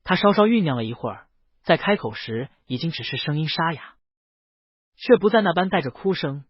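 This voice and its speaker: A man narrating a fantasy novel in an expressive, storytelling manner. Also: on the high side, brisk, slightly rough, dry recording, highs cut off. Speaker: audiobook narrator.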